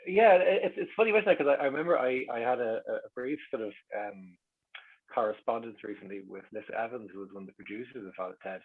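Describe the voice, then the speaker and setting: A man's voice: mid-range voice, fast talker, slightly rough. An Irish man telling anecdotes in casual chat as a guest, with hesitant starts and repeated words. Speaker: interview guest. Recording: muffled, lo-fi voice track.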